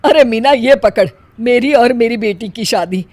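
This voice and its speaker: A woman's voice: a little high, measured pace, darkish tone, dry recording. A woman voicing a suspicious, scolding older mother-in-law in a theatrical cartoon style. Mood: indignant. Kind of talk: Hindi cartoon story acting.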